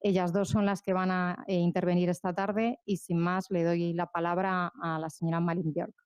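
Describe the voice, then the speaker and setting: A woman's voice: fairly low pitch, flat delivery, fairly quick, a little mellow, clean tone, dry recording, studio quality. A woman giving a formal introduction as moderator of an online event, over a slightly muffled call. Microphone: video call.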